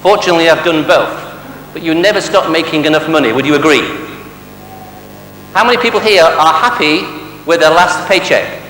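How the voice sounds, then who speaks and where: High-voiced Mancunian man quizzing a seminar audience. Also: moderate pace, pauses often, fairly bright tone. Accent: Mancunian English. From Manchester, England. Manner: quizzes the audience with rhetorical questions and jokes. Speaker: sales trainer. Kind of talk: motivational seminar talk. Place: large hall with a live audience. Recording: echoey hall with long reverb and faint hum.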